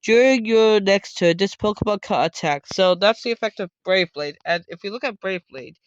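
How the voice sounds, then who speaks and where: A man with a high, smooth voice gives a casual, off-the-cuff tutorial. He talks loudly and wanders, often correcting himself. The sound is close and dry but dull and lo-fi. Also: normal speed, no echo. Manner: loud, rambling, self-correcting. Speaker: host of a card game tutorial video. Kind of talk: casual unscripted how-to explanation.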